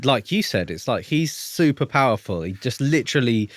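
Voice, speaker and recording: A man's voice: medium pitch, big pitch swings, normal speed, dry recording. A man co-hosting a podcast, chatting casually and informally about a book. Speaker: podcast co-host. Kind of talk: casual book discussion chat.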